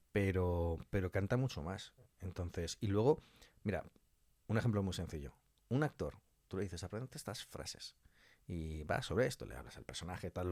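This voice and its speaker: Man, low voice, emphatic conversational guest, very dry recording. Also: a little mellow, no echo. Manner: colloquial and emphatic, repeating key phrases and voicing hypothetical quotes. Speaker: interview guest, audio fiction director. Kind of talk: conversational interview answers.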